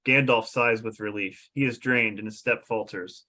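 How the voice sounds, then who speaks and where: A man's voice: fairly low pitch, steady tone, normal speed, pushed and bright. A man reading stage directions aloud as narrator at a script table read.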